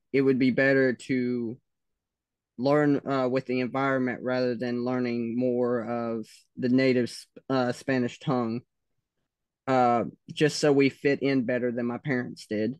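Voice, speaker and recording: Slow, hesitant Southern man. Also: medium pitch, level tone, clean tone, no echo. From United States. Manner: slow, hesitant, many pauses and fillers. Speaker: interviewee. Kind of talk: casual interview answers.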